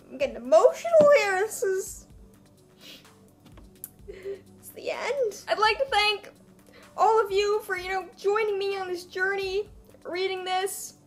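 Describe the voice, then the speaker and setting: A young woman with a high voice reads a story aloud for comic effect. Her delivery is mock-dramatic and self-mocking, dropping into casual asides, with faint music underneath. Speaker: vlogger reading her own old story aloud.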